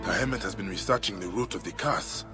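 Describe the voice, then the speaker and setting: A man playing a stern, determined investigator in a video game, speaking tersely and deliberately. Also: low-pitched, lightly acted. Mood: brooding, analytical. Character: stern, determined male investigator hunting a relic thief. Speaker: game protagonist. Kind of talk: dramatic video game acting. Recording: music behind the voice. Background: cinematic game music.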